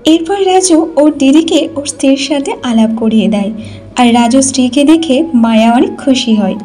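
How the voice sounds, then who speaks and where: A woman narrates a cartoon story from a script. Her voice is high-pitched with a soft, mellow tone, and music plays underneath. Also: lively intonation, normal speed.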